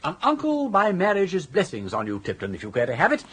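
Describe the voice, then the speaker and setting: An actor playing a genial, scheming older upper-class English gentleman with an urbane, florid, self-assured manner in a radio comedy drama. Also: high-pitched, huge pitch swings, brisk, a little hiss or hum, exaggerated voice.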